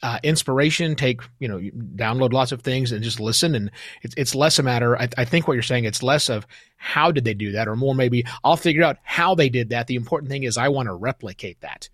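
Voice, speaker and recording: A man speaks as a podcast interviewer in a conversational manner. His voice sits at a medium pitch, and the sound is dry and close, free of room echo. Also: animated, a little fast, a bit bright.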